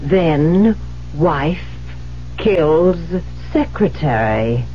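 Cold murderous wife, unhinged, deliberate slow delivery, theatrical menace, 1970s radio drama, dull humming recording. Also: female voice, sits low, expressive, slight background noise, exaggerated voice. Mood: menacing. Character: cold, unhinged woman writer who murdered her husband.

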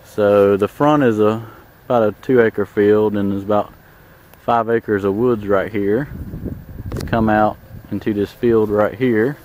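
A man giving a relaxed, plain-spoken tour of his land, walking and then driving. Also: on the low side, unhurried, rough recording. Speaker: landowner showing his farm. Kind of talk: casual walk-and-drive tour narration. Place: outdoors in fields, then inside a moving pickup truck. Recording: clear background noise of truck engine and outdoors.

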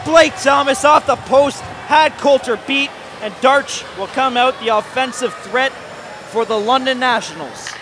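A man calling play-by-play for a hockey shootout, rising to shouted goal calls while his voice is going. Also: extremely high pitch, a little slow. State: losing his voice. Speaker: play-by-play commentator. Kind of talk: excited sports commentary. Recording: crowd and arena noise behind.

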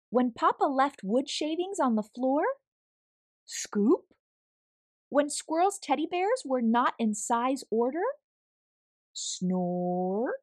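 A woman reading a picture book aloud to children in an expressive, deliberate, theatrical style. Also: high-pitched, dry recording, clean studio sound. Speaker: children's story reader.

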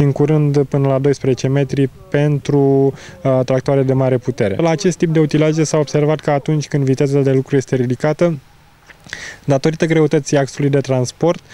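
Man, 30s, product specialist interviewed outdoors. Soft, dark tone, technical delivery with halting pauses. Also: medium pitch, clean tone. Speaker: agricultural machinery product specialist. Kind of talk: explaining equipment in an interview. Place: outdoors in an open field. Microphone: handheld interview microphone.

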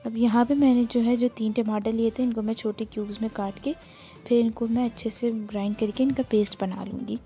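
Soft-spoken woman giving a recipe. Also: on the high side, fairly even intonation, fairly quick, faint music behind. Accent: Pakistani Urdu. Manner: plain, instructive, explains each step while working. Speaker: cooking channel host. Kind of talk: step-by-step recipe narration. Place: home kitchen.

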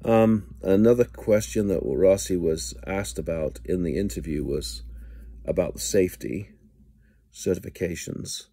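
A middle-aged man giving casual video commentary from home into a phone held close, halting and full of ums and restarts. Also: low-pitched, mellow, breathy edge, dry recording. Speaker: news blogger. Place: indoors at home, small room. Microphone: phone camera held close.

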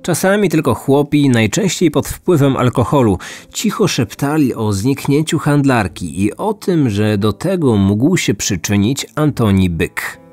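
A man's voice: medium pitch, animated, measured pace, few pauses, darkish tone, faint music behind. A man narrating a scripted true-crime story as a podcast host.

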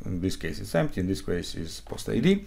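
Man, 40s, lowish voice, non-native english speaker, live coding lesson, frequent okay checks, desk at home. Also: very animated, brisk, vocal fry, darkish tone. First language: not English; speaks Hebrew, Russian, Ukrainian. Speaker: conference speaker.

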